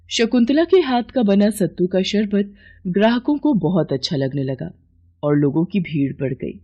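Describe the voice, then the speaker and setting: A woman narrates a scripted moral tale. Her voice is mid-pitched, dark and husky. The recording sounds a little dull.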